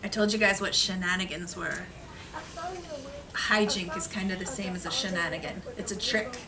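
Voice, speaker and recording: A woman teacher giving an animated, expressive children's read-aloud, pausing to explain things, recorded from a distance in a classroom. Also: on the low side, over a noisy crowd. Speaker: elementary school teacher reading aloud. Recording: noisy classroom, distant mic.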